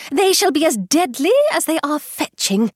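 A woman voicing an excitable, fretful middle-aged mother in an audiobook, high and gushing. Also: moderate pace, no room sound, clean studio sound. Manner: shrill, gushing, scolding.

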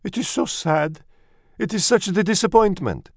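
A melodramatic French mother mouse, as a male storyteller puts her on, pitched far up and swooping wildly. She is vain and sorry for herself, sighing theatrically through every tragedy in this storybook tale. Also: animated, normal speed, vocal fry, dry recording, studio quality, exaggerated voice. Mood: bitter disappointment. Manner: theatrical, sighing, self-pitying.

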